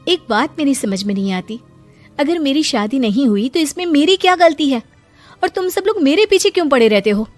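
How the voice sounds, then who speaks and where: Woman voicing schoolteacher in her thirties, defensive, exasperated, high pitch. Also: expressive, fairly quick, soft background music. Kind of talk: cartoon voice acting.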